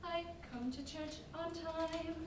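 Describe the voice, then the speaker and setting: A woman's voice: very high-pitched, fairly even intonation, slow, background noise. A woman playing a smug, self-righteous churchgoer, singing a cappella in a theatrical staged performance. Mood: smug, pious. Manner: pious, self-satisfied. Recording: very echoey live stage, distant mic.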